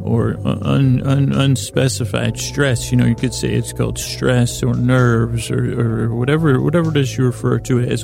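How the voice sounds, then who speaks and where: A man hosting a bedtime sleep podcast, delivering a meandering monologue in a halting, stammering way that often trails off. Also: mid-range voice, creaky.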